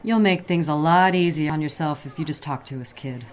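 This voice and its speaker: Woman acting a cool, low-voiced noir sleuth. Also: a little fast, close to an everyday voice. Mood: cool, probing. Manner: cool, needling. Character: cool, shrewd female detective's partner, quietly threatening. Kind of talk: noir radio drama.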